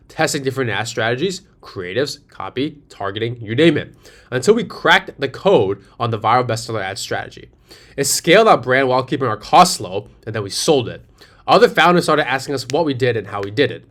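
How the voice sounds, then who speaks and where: A man's voice: medium pitch, fairly bright tone. A man with a New York accent, an agency founder giving a persuasive sales presentation over slides, asking rhetorical questions and repeating his key phrases. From New York City.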